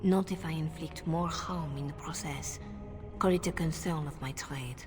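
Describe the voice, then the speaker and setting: An actress playing a grave, principled churchwoman who guards secrets, speaking in measured, formal words in a video game. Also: deep voice, long reverb tail, over music, close to an everyday voice.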